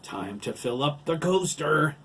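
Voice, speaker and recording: A man's voice: medium pitch, expressive, unhurried, a little mellow, slight background noise. A man voicing a sneering, gloating giant monster villain in a storybook narration. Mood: commanding. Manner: gloating, taunting.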